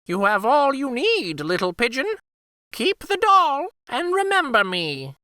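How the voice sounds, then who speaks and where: Storybook firebird , male reader: very high, animated, regal and motherly, soaring delivery. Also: unhurried, smooth, no echo, studio quality, heavily acted. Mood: affectionate. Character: grand, motherly female firebird, magical and kindly imperious.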